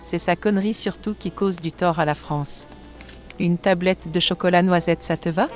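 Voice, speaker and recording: A woman plays a tough Resistance fighter with a low voice. She talks in a familiar, plain way, as in a wartime radio play, with music behind her. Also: steady tone, moderate pace, close to an everyday voice. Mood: familiar, cheeky. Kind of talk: wartime audio drama dialogue.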